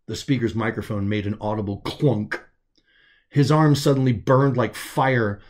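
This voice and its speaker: A man's voice: mid-range voice, fairly even intonation, a little slow. A man reading his own science fiction story aloud as scripted, dramatic audiobook narration.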